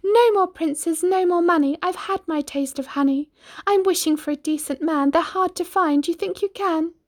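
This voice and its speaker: A woman voicing a brash, eager young Cinderella with high squealing exclamations. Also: normal speed. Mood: pleading.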